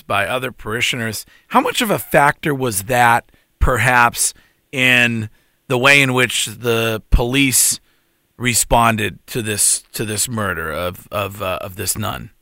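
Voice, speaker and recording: A man hosting a podcast, asking slow, deliberate questions of a guest. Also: medium pitch, pushed and bright, no echo, studio quality. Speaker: podcast host. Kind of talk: interview questions.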